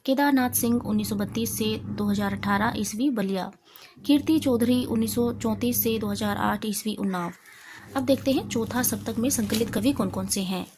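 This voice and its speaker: Higher-pitched woman teacher, noisy recording. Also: moderate pace. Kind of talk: exam revision lesson, reading out questions, answers and lists. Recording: clearly noisy amateur recording.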